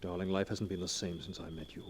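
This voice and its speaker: An actor playing a charming young male scoundrel in a 1940s radio drama, smooth and coaxing. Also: deep voice, level tone, quick pace, a little hiss or hum, close to an everyday voice. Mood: tender.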